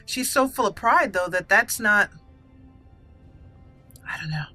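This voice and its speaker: A woman's voice: mid-range voice, animated, measured pace, bright, forceful tone, faint music behind. A woman giving roleplay commentary on a video game, thinking aloud as she goes. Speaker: gaming channel host.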